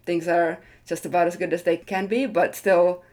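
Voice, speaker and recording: Low voiced woman getting interviewed about her work, thoughtful, hedges and qualifies stuff as she goes. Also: flat delivery, moderate pace, a little mellow. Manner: thoughtful, hedging, self-qualifying. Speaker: interview guest, tenured design leader. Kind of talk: reflective interview conversation.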